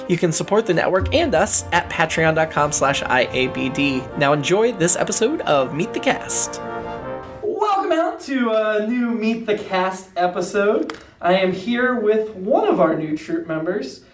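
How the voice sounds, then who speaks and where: An American man with a high voice for a male, speaking as a podcast host and interviewer. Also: sing-song, measured pace, music in the background. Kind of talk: podcast announcements and chatty interview.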